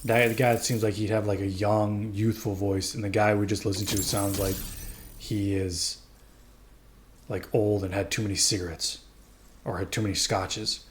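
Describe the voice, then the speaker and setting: A man chatting loosely while he plays a video game, his voice sitting low and relaxed. He wanders from thought to thought with plenty of fillers. A faint hiss runs under the recording. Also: moderate pace. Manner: rambling, chatty, lots of fillers. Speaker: gameplay commentator. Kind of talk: casual let's-play commentary.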